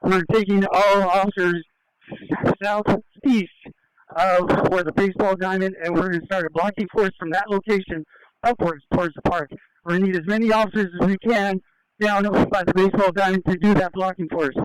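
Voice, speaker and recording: A man's voice: high voice, fairly even intonation, moderate pace, clear, resonant tone, no echo, low-quality recording. A male police officer giving instructions over the radio, heard on a scanner.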